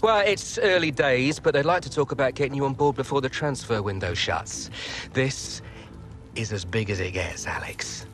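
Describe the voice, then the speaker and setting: An actor playing a persuasive football agent in a video game cutscene, pushing a big transfer. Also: male voice, medium pitch, expressive, moderate pace, fairly bright tone, close to an everyday voice. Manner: persuasive, salesy, building hype. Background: music.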